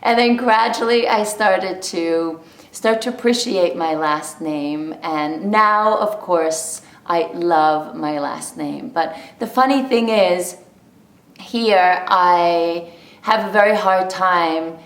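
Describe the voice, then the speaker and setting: A woman's voice: lowish voice, takes it slowly, reverberant. A woman who grew up in Norway, chatting to camera and telling a personal story with laughs along the way. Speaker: vlogger. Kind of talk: casual talk to camera.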